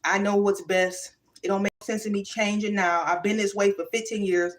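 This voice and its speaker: Woman, mid-pitched, casual livestream vlogger, emphatic and preachy. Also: moderate pace, a little hiss or hum. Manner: animated, emphatic, drifts between musing and preachy. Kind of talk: casual spiritual livestream monologue. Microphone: phone or webcam livestream.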